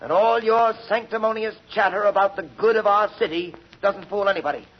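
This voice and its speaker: An actor playing a formal, forceful man speaking at a secret tribunal, oratorical and insistent, in 1940s radio drama style. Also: high voice, fairly even intonation, a little fast. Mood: defiant. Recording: muffled old radio recording.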